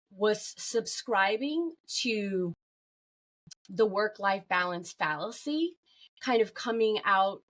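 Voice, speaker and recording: Mid-pitched woman as interview guest. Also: a bit bright. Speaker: podcast guest, workplace well-being consultant. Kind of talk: conversational interview talk.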